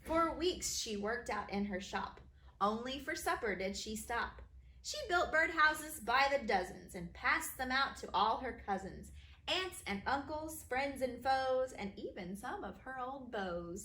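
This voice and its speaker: A woman teacher reading children's stories aloud in an expressive, sing-song way for young listeners. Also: fairly high pitch, unhurried. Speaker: kindergarten teacher reading her own stories. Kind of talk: animated children's storybook reading. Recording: roomy echo, faint background noise.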